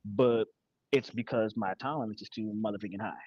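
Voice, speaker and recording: A man's voice: on the low side, fairly even intonation, brisk, muffled recording, crowd noise. A man in his forties from Connecticut, a podcast guest talking candidly in casual, profane slang. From Connecticut, USA. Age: 40s.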